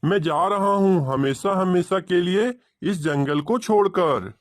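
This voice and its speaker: A man's voice: sits high, unhurried. A man voicing a proud, resentful adult male crow in a cartoon, speaking with dramatic emphasis. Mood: indignant.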